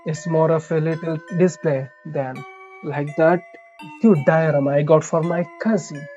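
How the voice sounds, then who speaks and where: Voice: medium pitch, steady tone, measured pace, dark tone, highs cut off. A game streamer reading a visual novel aloud with casual side comments, stumbling now and then over the lines. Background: game music.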